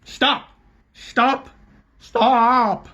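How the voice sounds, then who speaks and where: A man's voice: very high-pitched, flat delivery, drawn-out words, bright, forceful tone, lo-fi sound, pitched above the performer's own voice, exaggerated voice. A man voicing a learned old male doctor who speaks sharply and urgently.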